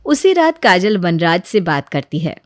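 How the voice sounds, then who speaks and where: A woman's voice: lowish voice, big pitch swings, measured pace, no echo, clean studio sound. A woman reading scripted narration for a moral family story.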